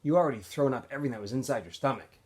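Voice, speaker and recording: A man's voice: mid-range voice, animated, rapid-fire, darkish tone, slightly rough. A good-natured teenage boy on the run in a storybook, played by a man reader. He is stubborn but considerate and talks casually and plainly, with intonation that jumps about. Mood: reassuring.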